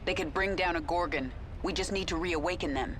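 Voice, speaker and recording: A woman's voice: on the low side, a little fast, natural voice. Commanding alien swarm queen, played by a woman. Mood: resolute. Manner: cool, authoritative, clipped. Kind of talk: video game voice acting. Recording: clear ambient noise behind.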